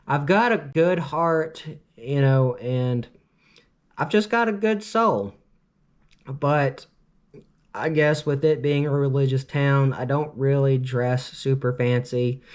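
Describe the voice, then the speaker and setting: A man in his late twenties from Cleveland, telling a casual storytime vlog in a rambling, earnest way, with constant filler words. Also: mid-range voice. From Cleveland, Ohio, US. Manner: earnest, opinionated. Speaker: vlogger.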